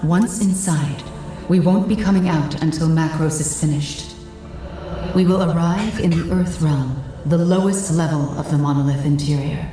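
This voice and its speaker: An actress playing a composed, commanding mystical guide in a video game cutscene, speaking in a measured, solemn, instructive way. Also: female voice, low voice, natural voice. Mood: instructive, grave. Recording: echoey, loud game music behind.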